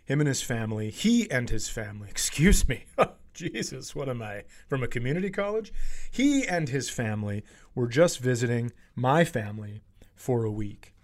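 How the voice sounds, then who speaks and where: A man hosting a comedy podcast, telling casual, profane stories in an animated way and acting out the quotes. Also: medium pitch, moderate pace. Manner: animated, profane, acts out quotes in his anecdotes.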